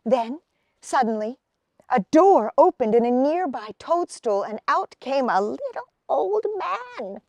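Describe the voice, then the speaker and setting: Female storybook reader: high voice, very animated intonation, unhurried children's narration, dry sound. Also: dry recording.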